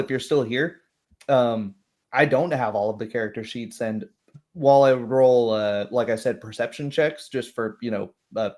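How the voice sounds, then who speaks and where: A man's voice: medium pitch, darkish tone. A man who works as a game master, talking casually and thoughtfully with hesitant phrasing in a group discussion.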